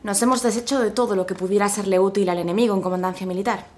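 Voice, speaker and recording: A woman's voice: medium pitch, steady tone, racing, a little mellow, a little hiss or hum, close to an everyday voice. A woman playing a dutiful, businesslike military secretary giving reports in an audio drama. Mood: grave, formal. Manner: formal, businesslike.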